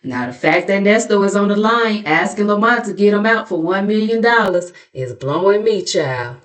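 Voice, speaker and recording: A woman's voice: mid-range voice, expressive, moderate pace. A middle-aged American woman giving sassy, gossipy reaction commentary as a host, in a colloquial, playfully mocking, dramatic storytelling way. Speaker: YouTube commentary host, narrator.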